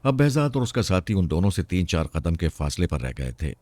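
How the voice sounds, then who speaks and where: A man's voice: low-pitched, very animated, brisk, darkish tone, no echo. A man narrating a scripted suspense story as a storyteller.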